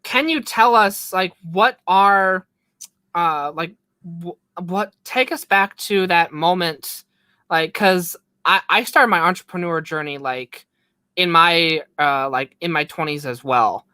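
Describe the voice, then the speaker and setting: A woman's voice: on the low side, slow, pushed and bright, clean tone, no echo. A woman hosting a casual interview, asking long, rambling questions filled with "like.". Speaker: podcast host.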